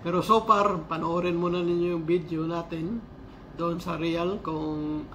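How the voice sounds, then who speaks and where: A man giving a casual vlog-style gear review in a halting, explanatory way, repeating key words. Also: on the high side, level tone, a bit bright, lo-fi sound. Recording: clearly noisy amateur recording.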